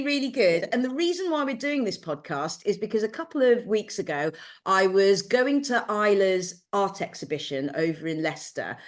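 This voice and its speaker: A woman hosts a podcast interview in an upbeat, encouraging way. Her voice sits at an ordinary middle pitch. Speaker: podcast host.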